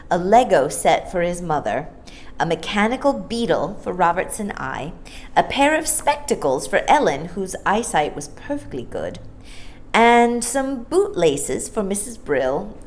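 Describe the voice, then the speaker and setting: Animated low-voiced woman reading a storybook. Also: slight background noise. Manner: theatrical, acts out dialogue. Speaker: storytime reader. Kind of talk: expressive storybook narration for children.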